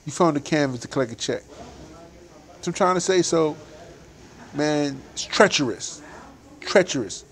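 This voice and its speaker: A man's voice: fairly high pitch, animated, a little slow, pauses often. A young adult man, a boxer, talking animatedly and emphatically in a casual interview, laughing often.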